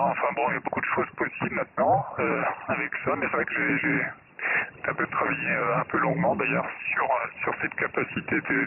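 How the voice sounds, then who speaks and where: Casting: male radio ham, very gravelly voice, halting technical explainer, heard through narrow, hissy radio transmission. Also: medium pitch. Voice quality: gravelly, creaky. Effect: ham radio satellite link. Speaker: amateur radio operator explaining his software.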